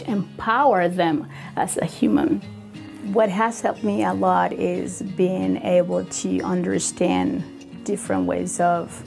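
Gravelly-edged Venezuelan woman, music behind. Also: medium pitch, darkish tone. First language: Spanish. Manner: earnest, halting non-native English. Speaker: kindergarten Spanish teacher. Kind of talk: personal testimonial interview.

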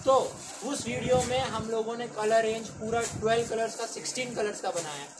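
A man's voice: extremely high pitch, normal speed, noisy background. A young man vlogging casually from a small textile shop, showing sarees to viewers in a chatty, joking way. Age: young adult. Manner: chatty, jokey, addresses viewers as guys. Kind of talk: casual product showcase.

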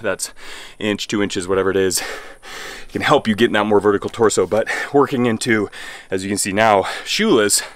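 A man's voice: lowish voice, steady tone, moderate pace, no echo. A man in his thirties giving a laid-back, enthusiastic voice-over as a fitness coach explaining exercises. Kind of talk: workout voice-over instruction. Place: outdoor home gym by a garage.

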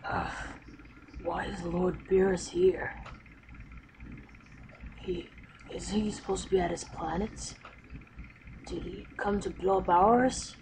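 Uneasy warrior prince acted by a woman, slow. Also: fairly low pitch, fairly even intonation, gravelly. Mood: wary. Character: proud, uneasy male alien warrior prince. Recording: noisy home recording.

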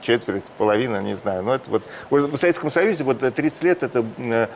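A man's voice: mid-range voice, fast talker, a little hiss or hum. A man hosting an interview, asking questions in a chatty way and groping for words with fillers. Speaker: interviewer, host.

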